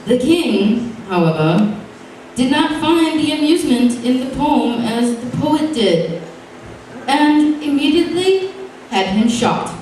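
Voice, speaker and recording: A woman storyteller reading a comic fairy tale and verse theatrically at a live show, with deliberate, playful emphasis. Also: high-pitched, big pitch swings, a little mellow. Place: indoor stage show, room ambience behind. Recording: very echoey live hall, distant mic, noisy.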